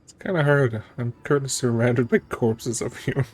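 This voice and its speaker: A male streamer talks casually over a game in a medium-pitched voice. His remarks are brief reactions, with faint music in the background. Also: moderate pace, darkish tone.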